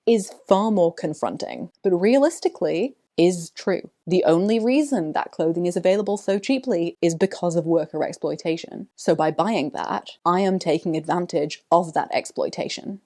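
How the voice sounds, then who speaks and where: Mellow-voiced woman presenting a scripted commentary. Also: fairly low pitch, moderate pace, few pauses. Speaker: vlog host. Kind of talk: scripted commentary with dramatic book reading.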